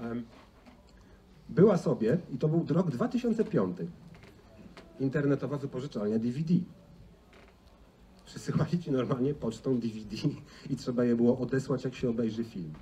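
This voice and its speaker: Middle-aged male conference speaker, conversational, relaxed low-brightness tone, recorded at a distance with background noise. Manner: conversational, frequent rhetorical tag questions. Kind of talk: informal conference presentation. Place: large indoor auditorium stage. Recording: distant, noisy stage sound in a hall.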